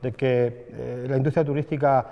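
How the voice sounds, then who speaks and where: A man's voice: medium pitch, little pitch movement, normal speed, gravelly, in a large hall, dull audio. A man, apparently a politician, giving interview answers in a hesitant way with fillers. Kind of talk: interview statements.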